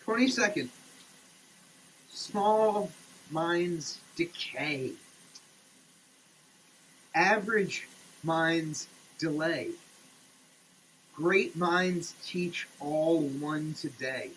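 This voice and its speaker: A man giving a theatrical, declamatory dramatic reading, swinging between hushed delivery and shouting, as a comic performance. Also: on the high side, very slow, long pauses, a little hiss or hum, rough recording. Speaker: sketch comedy performer. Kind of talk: dramatic reading of a product label. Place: shower.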